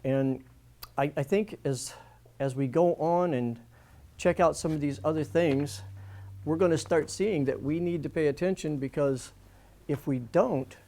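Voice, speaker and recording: A man's voice: a little high, unhurried, warm tone. A man speaking off the cuff as a guest on a radio interview, earnest and emphatic, often restating his points. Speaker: alternative-media guest and writer. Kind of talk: unscripted radio interview talk.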